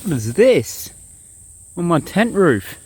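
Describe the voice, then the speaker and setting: A man's voice: sits high, slow pace, breathy edge. Young guy vlogging in the bush, chill rambling chat, crickets going in the background. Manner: rambling, relaxed, talks straight to viewers. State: sick, blocked nose and sore throat. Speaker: bushcraft vlogger. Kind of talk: casual outdoor vlog. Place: outdoors in the bush.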